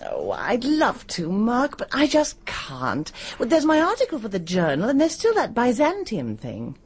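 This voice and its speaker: A woman's voice: on the high side, huge pitch swings, measured pace, husky, exaggerated voice. An actress with a British accent playing a cool, witty, condescending intellectual woman in a radio drama, arch and articulate. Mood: amused, breezy. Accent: British English.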